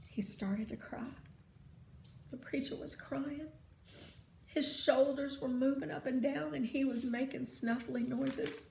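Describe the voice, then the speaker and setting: A woman narrating a children's story expressively, with deliberate, dramatic phrasing. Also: high voice, steady tone, gravelly, darkish tone. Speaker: read-aloud narrator. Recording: roomy sound with faint noise.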